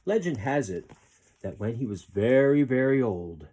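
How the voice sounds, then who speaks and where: A man reading a children's story aloud in an expressive narrating style. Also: mid-range voice, a little slow. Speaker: storybook reader.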